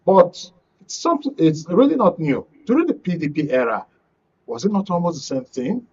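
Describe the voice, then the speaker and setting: A man's voice: sits high, sing-song, moderate pace, darkish tone, breathy edge. A man with a Nigerian accent moderating a live political panel, feeling his way hesitantly through long questions. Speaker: talk show host, moderator. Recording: dull lo-fi broadcast audio.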